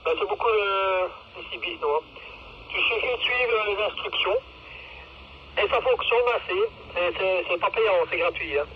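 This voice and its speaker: Belgian French man, casual radio operator, hesitant and rambling; sounds far from the mic, with clear noise over the transmission. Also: high-pitched, expressive, moderate pace. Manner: rambling, hesitant, repeats himself. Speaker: amateur two-way radio user. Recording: dull lo-fi two-way radio, clear noise, distant.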